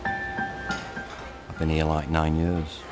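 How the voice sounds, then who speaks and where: A man's voice: a bass voice, monotone, extremely slow. A middle-aged man, a factory worker recalling his years there in plain, homely words. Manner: plain-spoken, homely turns of phrase. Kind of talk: casual interview recollection. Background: music.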